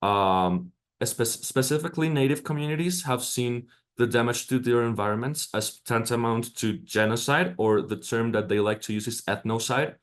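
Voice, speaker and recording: A man's voice: medium pitch, normal speed, clean tone. A man presenter answering questions at an academic workshop, hesitant with many fillers and restarts.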